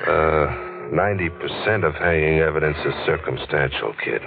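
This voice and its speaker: A world-weary crime newspaperman in a 1940s radio noir. His voice is very low, with a terse, hard-boiled weariness. It comes through a muffled vintage recording with music behind. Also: normal speed, creaky. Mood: gruffly reluctant.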